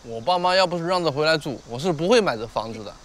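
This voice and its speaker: Actor as a quick-talking, haggling younger man. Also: on the high side, animated, natural voice. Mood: grudging. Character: reluctant, haggling younger male house buyer.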